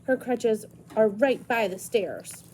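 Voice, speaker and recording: A stern but caring grown woman who guards a frightened child, as a woman reading a story aloud puts her on. Her voice sits fairly high yet mellow and warm, and she talks in a firm, no-nonsense way. Faint noise hums underneath. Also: slow. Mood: alarmed, scolding.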